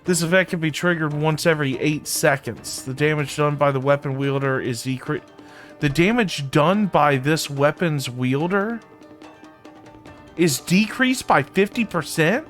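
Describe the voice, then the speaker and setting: Chatty higher-voiced man livestreaming a game. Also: unhurried, a bit bright, soft background music. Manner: informal, repeats phrases, chatty. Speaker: live game streamer. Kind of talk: casual livestream commentary and chat.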